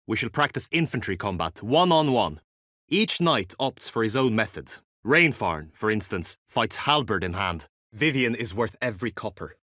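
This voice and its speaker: An actor playing an earnest, lovesick, chivalrous knight in a video game, with formal, archaic courtly phrasing and an anxious streak. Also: male voice, on the low side, sing-song, normal speed, bright, forceful tone, no room sound, studio quality, exaggerated voice. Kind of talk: video game character acting.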